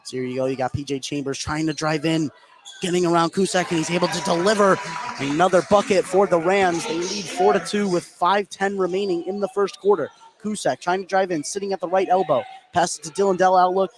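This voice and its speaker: A man doing live radio play-by-play of a basketball game, getting excitable on big plays. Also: sits high, a little fast, background noise. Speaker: play-by-play commentator.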